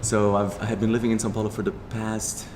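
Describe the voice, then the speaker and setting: A young Brazilian man talks fast in a slightly low voice, circling his points with fillers and restarts. His Brazilian accent is clear. The room rings with a long reverb, and steady noise sits under him. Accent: Brazilian Portuguese. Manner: rambling with frequent restarts and fillers. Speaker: startup founder, guest. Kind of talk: casual interview.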